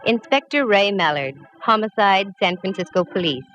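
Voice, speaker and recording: A woman's voice: mid-range voice, expressive, a bit bright, soft background music, lightly acted. An actress playing a wisecracking woman private eye who also narrates, dry and hardboiled, from a dull old radio broadcast. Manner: wry, hardboiled, quick with quips. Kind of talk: 1940s radio acting.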